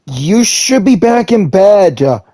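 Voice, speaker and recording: A man's voice: sits high, sing-song, takes it slowly, dark tone. A man casually reading game dialogue aloud, slipping in slangy asides.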